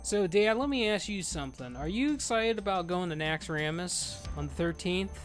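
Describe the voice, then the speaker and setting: Loud, excitable man in his thirties. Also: sits high, normal speed, faint music behind. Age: 30s. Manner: loud, excitable, swears freely. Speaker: streamer leading a game group. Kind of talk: casual live gameplay chat.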